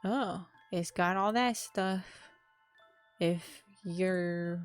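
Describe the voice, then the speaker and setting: Lowish-voiced woman playing a visual novel. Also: drawn-out words. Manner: informal, expressive. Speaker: game streamer. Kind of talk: casual gaming commentary and reading narration aloud. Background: game music.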